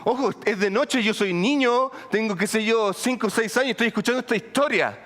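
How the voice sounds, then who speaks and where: A middle-aged man with a Colombian accent, preaching a sermon in an animated, humorous way with asides to the congregation. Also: sits high, brisk. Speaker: pastor. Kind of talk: sermon with storytelling.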